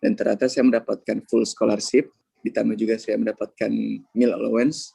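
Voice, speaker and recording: Gravelly, husky young man with Indonesian accent. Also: on the low side, steady tone, fast talker, dark tone, dry recording. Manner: hesitant, many filler sounds, mixes in English. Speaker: scholarship awardee speaker. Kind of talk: webinar talk.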